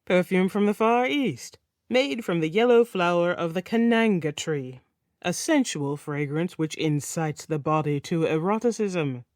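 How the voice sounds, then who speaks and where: Flamboyant male Nordic king, sing-song accent, teasing and gushing, low and dry; woman narrator doing the voice. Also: expressive, a little slow, darkish tone, dry recording. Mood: smooth. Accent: Nordic, put on. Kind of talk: audiobook character voice.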